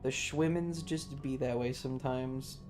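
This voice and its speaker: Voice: medium pitch, fairly even intonation, measured pace. A live streamer giving casual game commentary in a playfully bratty, sweary manner. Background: faint game music.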